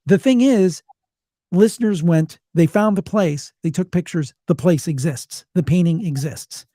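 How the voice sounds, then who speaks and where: A man with a fairly high, soft-toned voice speaks as a storytelling podcaster. His delivery is conversational and emphatic, recorded with very little room sound. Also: measured pace, dry recording, clean studio sound. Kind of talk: casual storytelling chat.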